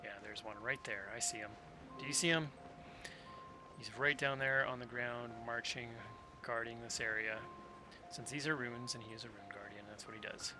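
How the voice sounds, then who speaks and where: A man's voice: mid-range voice, fairly even intonation, normal speed. A man giving casual gameplay commentary to viewers, talking through what he is doing. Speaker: game streamer. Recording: loud game music behind voice. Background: loud video game music.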